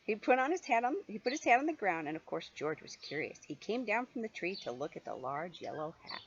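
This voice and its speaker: A mature woman reading a picture book aloud to a child in an animated, playful way, with chatty asides. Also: medium pitch, a little fast. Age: middle-aged or older. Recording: dull lo-fi home recording, faint noise.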